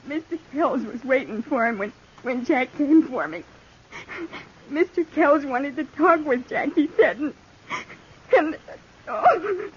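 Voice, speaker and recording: An actress in a 1950s radio western playing a hurt, betrayed frontier girl who speaks in halting, broken phrases. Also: high-pitched, lively intonation. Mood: distressed, faltering. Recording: muffled, hissy 1950s radio recording.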